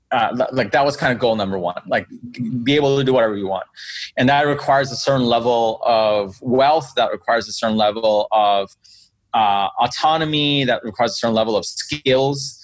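A man born in Bangladesh and raised in Queens with a New York accent, talking as an energetic, emphatic podcast guest who acts out quoted reactions. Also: medium pitch, dry recording. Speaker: guest entrepreneur. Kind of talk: conversational podcast interview.

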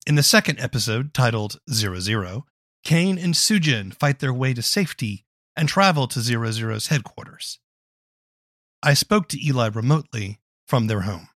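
A man's voice: medium pitch, animated, normal speed, fairly bright tone, no echo, studio quality. A man reading scripted narration as the host of an interview podcast.

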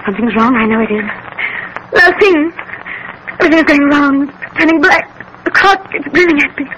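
Nervy young wife, high breathless voice, melodramatic 1930s radio acting, clearly noisy old recording. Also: female voice, animated, a little fast, pushed and bright, muffled recording. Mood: panicked. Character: anxious, frightened young English wife in colonial India.

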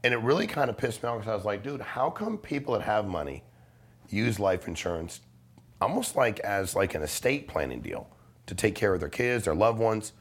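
A man's voice: on the low side, lively intonation, normal speed, breathy edge. A middle-aged man talking casually and persuasively as an interview guest, blunt and emphatic, with slang and swearing. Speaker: podcast guest, life insurance salesman.

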